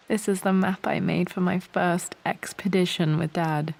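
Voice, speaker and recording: An actress playing a pensive young British heiress and explorer, musing aloud in a video game. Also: female voice, lowish voice, steady tone, measured pace, vocal fry. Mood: focused, musing. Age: young adult. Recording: music behind the voice.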